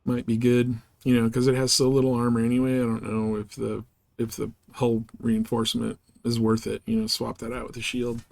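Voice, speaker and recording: A man's voice: medium pitch, completely flat delivery, a little fast, vocal fry, a little mellow. A man chatting casually and informally as he hosts a live game stream, rambling in slangy language.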